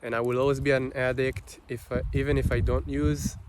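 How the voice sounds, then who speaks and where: A man with an Israeli accent, a native Hebrew speaker, giving an unscripted personal monologue in a halting, reflective manner with long pauses. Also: medium pitch, flat delivery, a little hiss or hum. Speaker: vlogger.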